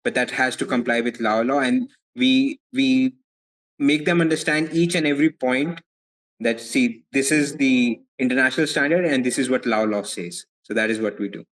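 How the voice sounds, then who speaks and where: A young man from India with an Indian accent, answering interview questions as a legal advisor in a measured way, often repeating words as he thinks. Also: mid-range voice, fairly bright tone, low-quality recording. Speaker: foreign legal advisor, guest.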